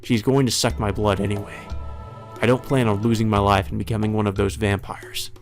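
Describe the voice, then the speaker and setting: A man's voice: on the low side, little pitch movement, fairly quick. A man reading a visual novel aloud as dramatic first-person narration. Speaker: let's play reader. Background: music.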